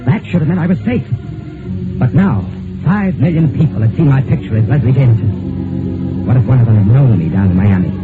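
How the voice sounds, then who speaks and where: A man's voice: mid-range voice, close to an everyday voice. Man acting an anxious fugitive, tinny old radio drama. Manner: nervous, stammering in dialogue. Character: anxious male fugitive posing as a dead man. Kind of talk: old-time radio drama, first-person mystery narration and dialogue. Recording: tinny vintage radio recording, music behind.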